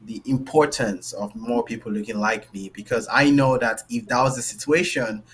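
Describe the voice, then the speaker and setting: A man with a light Nigerian accent tells a story on a panel in a relaxed, loose way, scattering "like, you know" and "right?" through his sentences. His voice sits at an ordinary middle pitch, with a faint hum of noise behind it. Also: normal speed, rough recording. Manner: loose, filler-heavy, tags lines with "right?". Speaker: panelist, engineer and founder. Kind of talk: casual storytelling.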